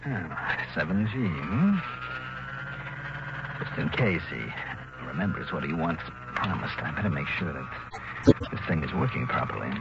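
An actor playing a brusque, wry insurance investigator, who also narrates in the manner of a 1960s radio detective drama. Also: male voice, extremely low pitch, expressive, measured pace, long pauses, heavy background noise, heavily acted. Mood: wary. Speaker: series lead and narrator. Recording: dull vintage radio recording, music behind.

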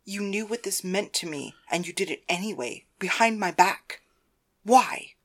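A woman's voice: medium pitch, a little slow, a bit bright. A woman voicing a domineering, controlling wife with a stern, commanding manner in audiobook dialogue. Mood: demanding, hurt. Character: domineering, controlling wife and mistress interrogating her husband.

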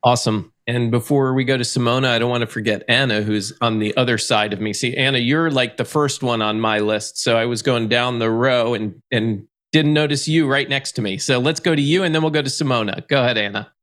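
Brisk male panel moderator. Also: mid-range voice, fairly bright tone. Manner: friendly, brisk, laughs easily.

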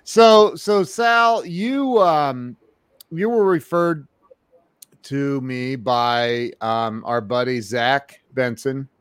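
A man's voice: medium pitch, clear, resonant tone, no room sound. A man hosting an interview podcast, talking casually with lots of fillers and restarts. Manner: halting, many fillers and restarts. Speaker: podcast host.

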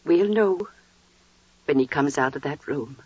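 A woman's voice: low voice, expressive, measured pace, a little mellow, husky, no room sound, heavily acted. An actress playing a devout, emotional wife and mother, heartfelt and theatrical, in a 1940s radio drama. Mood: anxious, hushed. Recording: dull vintage radio recording.

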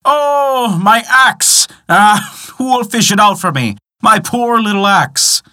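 A man voicing a poor, honest woodcutter in a storybook tale, melodramatic, plaintive and excitable. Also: high-pitched, slow pace, voice pushed up, exaggerated voice. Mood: wailing despair. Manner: wailing, melodramatic.

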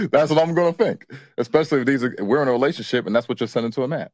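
A man's voice: medium pitch, expressive, very fast, a little mellow, no room sound, clean studio sound. A man co-hosting a casual, unscripted relationship chat, talking in a colloquial, matter-of-fact way. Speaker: co-host of a couples' relationship show.

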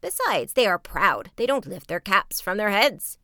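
A woman's voice: mid-range voice, sing-song, moderate pace, pushed and bright, dry recording, clean studio sound. A woman voicing a flustered male palace servant in a fairy tale, agitated and formally deferential. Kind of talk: storybook character voice.

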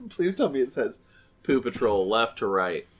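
A man's voice: mid-range voice, big pitch swings, unhurried, husky. A man in his thirties with a stuffy, nasal voice, co-hosting a casual chat podcast in a rambling, self-correcting way. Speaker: podcast co-host.

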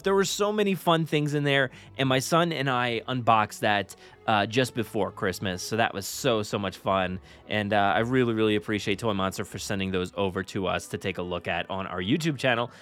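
A man's voice: mid-range voice, fairly bright tone. A man hosting a podcast in a casual, enthusiastic, chatty and informal way. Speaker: podcast host.

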